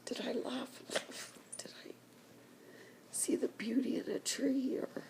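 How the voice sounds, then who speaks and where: An older woman whispers through happy tears, unhurried and gravelly. Her voice sits a little high for a woman and often drops to a breathy hush. She speaks to the camera in a personal vlog. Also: fairly even intonation. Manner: whispering, slow and emotional. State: crying. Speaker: vlogger.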